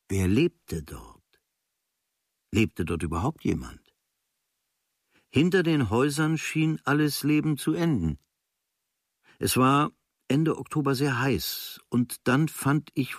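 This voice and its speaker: Male literary reader, fairly low register, measured and observational delivery, dry studio recording. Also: dry recording. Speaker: reader of a literary travel diary. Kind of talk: literary audiobook reading, scripted narration. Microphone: close studio microphone.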